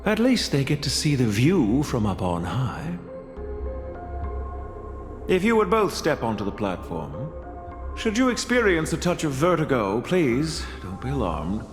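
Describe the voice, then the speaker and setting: An actor playing a courteous, composed and ambitious corporate heir in a video game, speaking in a polite, theatrical way. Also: male voice, mid-range voice, big pitch swings, measured pace. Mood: suave, poised. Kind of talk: dramatic video game voice acting. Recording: long reverb, music behind. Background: music.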